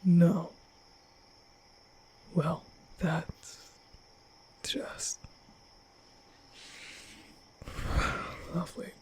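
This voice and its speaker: A young man playing an awkward, nervous vampire in an ASMR roleplay, stammering and muttering in breathy whispers. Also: a little high, monotone, dark tone, exaggerated voice. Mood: awkward, hesitant. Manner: halting, stammering, muttering to himself. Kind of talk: ASMR roleplay audio drama.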